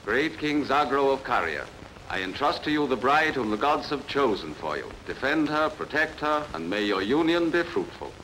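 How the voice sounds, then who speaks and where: A man playing a solemn high priest, declaiming formally and ceremonially in a film dub. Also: big pitch swings, normal speed, pushed and bright, a little hiss or hum, low-quality recording.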